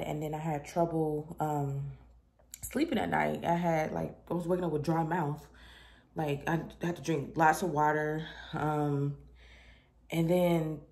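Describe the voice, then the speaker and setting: Congested woman talking low. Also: gravelly, darkish tone. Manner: low-key, rambling explanation. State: congested, stuffy nose. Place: apartment kitchen indoors. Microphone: phone camera held close.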